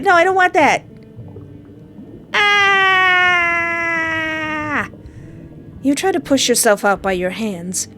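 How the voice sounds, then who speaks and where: A woman reading a visual novel aloud and breaking in with sarcastic jokes and squeals of mock panic. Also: medium pitch. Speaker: let's play gamer.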